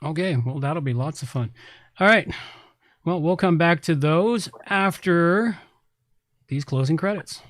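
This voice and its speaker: A man's voice: a little high, a little slow, long pauses, fairly bright tone, dry recording. A middle-aged man hosting a podcast, talking casually in a rambling, blunt, informal way. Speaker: podcast host and website consultant. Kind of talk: casual tech podcast talk.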